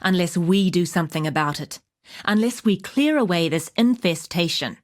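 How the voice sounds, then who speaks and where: An actress playing a tough, wry wilderness huntress in a video game, speaking in a measured, dry, matter-of-fact way as she hands out hunting quests. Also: on the low side, lively intonation, natural voice. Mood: grim, determined. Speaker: game character voice actor.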